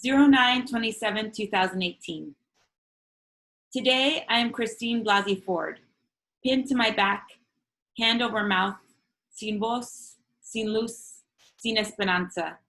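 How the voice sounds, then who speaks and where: A woman whose first language is Spanish, reading her own poetry to an online open mic. Her delivery is measured and earnest, loosening into casual chat between poems, and her voice sits at an ordinary middle pitch. She is heard through a video-call microphone at home. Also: a bit bright. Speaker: featured poet.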